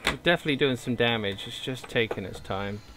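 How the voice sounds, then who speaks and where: A man's voice: mid-range voice, fast talker, noisy background. A man streaming a video game, giving casual chat and commentary to his crewmates. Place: indoor room at home.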